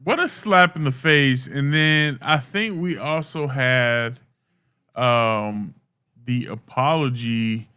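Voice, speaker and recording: A man's voice: mid-range voice, slow pace, bright, forceful tone, smooth. A man co-hosting casual sports talk with a blunt, emphatic, slangy delivery. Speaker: podcast co-host.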